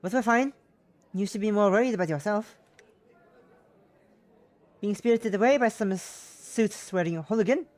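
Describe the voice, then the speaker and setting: A woman's voice: medium pitch, moderate pace, long pauses, a little mellow, voice pushed up, close to an everyday voice. A woman voicing a worried, scolding but doting Russian mother with an exaggerated put-on Russian accent, emphatic and nagging, in an amateur drama reading.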